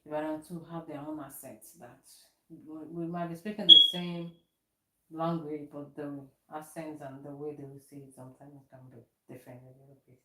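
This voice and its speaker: A Nigerian woman from Oyo State with a Yoruba accent, whose first language is Yoruba. She chats casually and explains things slowly with examples. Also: deep voice, level tone, background noise. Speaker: salon owner. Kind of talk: casual chat. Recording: echoey room.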